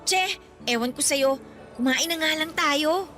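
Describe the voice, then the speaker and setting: A shy, secretive young student girlfriend in a radio play with a high voice. She dodges questions and playfully scolds her boyfriend, with soft music in the background. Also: measured pace. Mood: defensive. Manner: flustered and evasive, with playful scolding. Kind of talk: radio drama acting.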